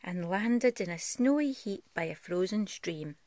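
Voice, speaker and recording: A woman reading a picture book aloud as a parent would, in a soft, gentle storytelling style. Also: medium pitch, animated, unhurried, no room sound. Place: bedroom, on a bed at home.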